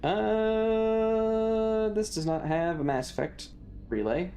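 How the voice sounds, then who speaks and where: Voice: mid-range voice, takes it slowly, warm tone, a little hiss or hum. A streamer chatting casually while playing a video game, rambling along to themselves and the viewers. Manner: rambling, talks to self and chat. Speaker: streamer hosting a live stream.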